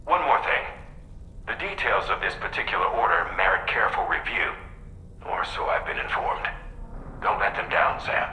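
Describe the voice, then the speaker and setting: Businesslike game dispatcher on radio, super sharp lowish voice, echo, bit of noise, formal. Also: male voice, sing-song, normal speed, full character voice. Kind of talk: scripted video game briefing. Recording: echoey, noisy radio-like transmission.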